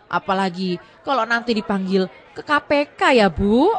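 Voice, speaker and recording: A woman playing a lively forum moderator who playfully coaxes and rallies a shy public, with a crowd around her. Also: mid-range voice, normal speed, lightly acted. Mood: teasing, coaxing. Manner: coaxing, rallying, playful. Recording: crowd noise behind.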